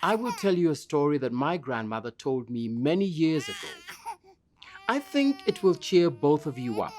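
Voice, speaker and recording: A woman voicing a firm but kindly auntie who tells stories in a children's storybook, measured and soothing. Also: deep voice, sing-song, a little mellow, slight background noise. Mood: soothing storytelling.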